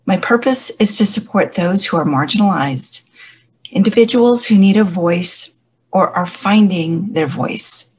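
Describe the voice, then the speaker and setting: A woman who stutters, a church elder, giving a measured, reflective personal faith testimony. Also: mid-range voice, a little mellow, husky.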